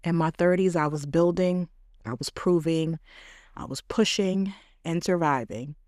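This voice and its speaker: A woman in her late thirties hosting a podcast, delivering a reflective scripted monologue in slow, measured, affirming short phrases. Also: fairly low pitch, darkish tone, no echo, studio quality. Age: late 30s. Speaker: podcast host.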